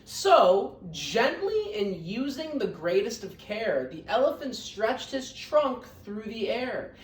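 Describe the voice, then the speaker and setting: A woman reading a children's story aloud like a teacher, in an expressive, emphatic storytelling manner. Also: a little high, slow pace. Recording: roomy echo, distant microphone.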